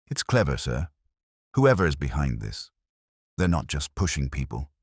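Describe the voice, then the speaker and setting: Guy doing a police sidekick, respectful but doubtful, low voice with lively pitch, detective audiobook. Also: moderate pace, slightly rough, no room sound, studio quality, full character voice. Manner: questioning, respectful. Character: deferential, doubtful male police sergeant.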